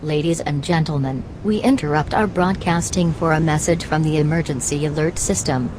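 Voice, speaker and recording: A woman's voice: low-pitched, normal speed, noisy background. A woman reading a scripted news bulletin as a radio announcer.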